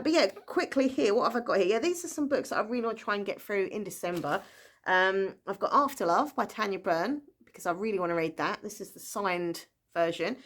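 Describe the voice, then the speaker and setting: A woman, a book vlogger talking in a casual, rambling and enthusiastic way. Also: medium pitch. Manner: rambling, enthusiastic, full of fillers and small laughs. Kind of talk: casual chatty book talk.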